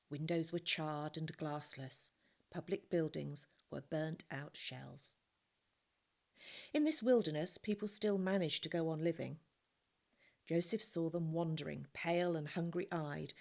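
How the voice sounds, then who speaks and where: A woman reading a novel aloud in a steady, expressive storybook manner. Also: sits low, creaky. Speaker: reader.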